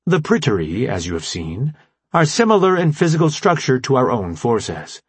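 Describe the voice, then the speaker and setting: A man voicing a formal, logical artificial intelligence robot leader in an audiobook, explaining things in precise, measured language. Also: mid-range voice, wildly swooping pitch, husky, no room sound, pitched above the performer's own voice. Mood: solemn, explanatory. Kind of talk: audiobook character dialogue.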